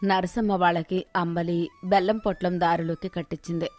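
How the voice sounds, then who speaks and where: A woman's voice: on the low side, little pitch movement, moderate pace, darkish tone. A woman reading a scripted moral story as its narrator. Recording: music behind the voice.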